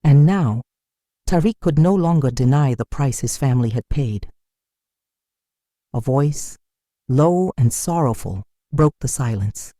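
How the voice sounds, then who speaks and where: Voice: medium pitch, lively intonation, unhurried, pauses often, dark tone, no echo, studio quality. A narrator delivering a dramatic scripted folktale in a solemn, suspenseful manner.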